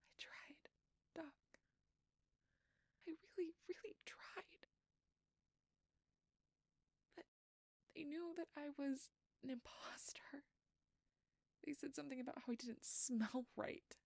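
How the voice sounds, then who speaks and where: A woman playing a frightened, guilt-ridden changeling fugitive in an intimate fantasy audio roleplay, with a halting, emotional delivery. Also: high voice, vocal fry, a little mellow, no room sound, whispered. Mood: shaken, hushed.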